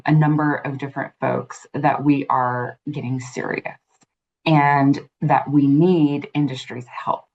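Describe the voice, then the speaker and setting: Very low-voiced earnest woman, muffled audio. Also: fairly even intonation, slow pace, a little mellow. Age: 30s. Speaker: interview guest. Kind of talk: interview answers.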